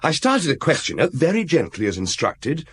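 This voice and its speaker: A young police detective, fairly high and husky. He speaks with wry deference and bouncy intonation, as in a radio play. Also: huge pitch swings, moderate pace, heavily acted. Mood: rueful, wry. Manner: rueful, lightly self-mocking. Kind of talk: radio drama acting.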